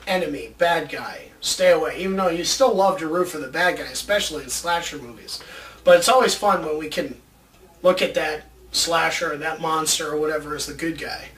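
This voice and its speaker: High-voiced man chatting in an echoey room. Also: a bit bright. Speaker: co-host of a video show about movie ideas. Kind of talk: casual chat. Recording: roomy, distant mic with background noise.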